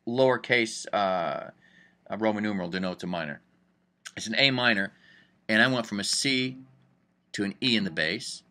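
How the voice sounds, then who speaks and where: Male music teacher, bright tone, chatty and upbeat, casual explainer. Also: on the low side, normal speed, long pauses. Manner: chatty, upbeat, thinks aloud with fillers. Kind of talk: casual screen-recorded lesson.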